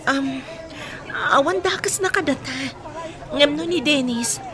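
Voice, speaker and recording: A woman's voice: high voice, measured pace, crowd noise. A Filipino woman playing a distressed young wife and mother in a radio drama, speaking in a plaintive, pleading way. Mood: uneasy. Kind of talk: Philippine radio drama acting.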